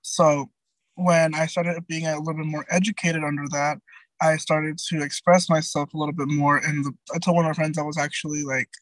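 A man's voice: level tone, brisk, fairly bright tone, dry recording, low-quality recording. A young man around twenty, a guest in a casual interview, talking in a chatty way full of "like" and "um" with many restarts. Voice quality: light high-pitched voice.

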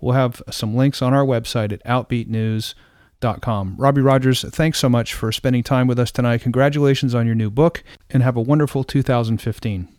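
A male radio host with a mid-range voice reads smooth, scripted introductions and thanks his guests. The sound is dry and close, with no room in it. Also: mid-range voice, fairly even intonation, a little fast, darkish tone, no echo. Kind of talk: radio interview hosting.

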